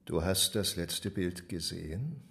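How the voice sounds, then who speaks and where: An actor in a radio drama playing a wise master of miniature painting, measured and philosophical in manner. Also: male voice, sits low, expressive, gravelly, fairly bright tone, a little hiss or hum, exaggerated voice. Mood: composed, probing.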